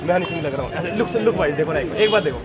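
A man's voice: fairly high pitch, lively intonation, very fast, over a noisy crowd. A young man with a sore throat, vlogging casually and playfully to a phone held at arm's length, first on a busy market street and then at home. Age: young adult. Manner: playful, teasing, keeps saying "guys" and "okay". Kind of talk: casual daily vlog. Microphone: phone camera held at arm's length.

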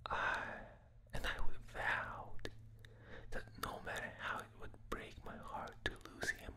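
A man with a non-native accent reading a novel aloud in a soft, fully unvoiced ASMR whisper on a binaural microphone, late at night. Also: unhurried. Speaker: ASMR reader. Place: dark room at night, reading from a tablet.

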